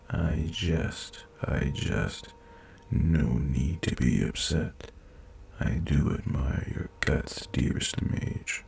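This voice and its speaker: A man voicing an ancient, haughty and seductive male dragon in a fantasy romance audio roleplay, speaking formally in a breathy, gravelly murmur with teasing condescension. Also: rumbling low, darkish tone, exaggerated voice. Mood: mocking, amused.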